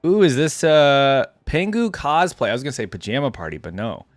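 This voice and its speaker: A man's voice: mid-range voice, very animated, a little fast. A man giving casual, enthusiastic reaction commentary on game skins, thinking aloud as he goes, with game music behind him. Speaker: reaction video host. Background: game music and effects.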